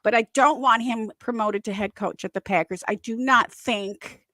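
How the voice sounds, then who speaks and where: A woman from Wisconsin with a Wisconsin accent, co-hosting a casual football chat, chatty and quick to laugh. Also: on the high side, a bit bright, dry recording. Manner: chatty, laughs often, many fillers. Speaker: podcast co-host.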